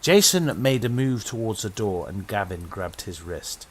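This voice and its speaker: A man's voice: lively intonation, a little slow, husky. A British man narrating a horror story in a dramatic storytelling manner. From Britain. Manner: dramatic storytelling with sweeping pitch.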